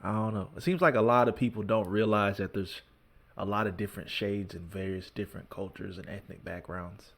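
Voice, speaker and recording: A man's voice: lowish voice, fairly even intonation, fairly quick, gravelly. A man hosting a reaction video, commenting in a laid-back way and often saying "bro" and "man".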